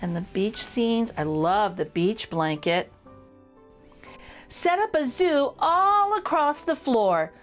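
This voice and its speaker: A high-voiced woman reads a children's picture book aloud with very lively, swooping intonation. She is playful and speaks straight to her young listeners, taking her time, with music playing underneath. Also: takes it slowly. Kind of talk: expressive children's read-aloud.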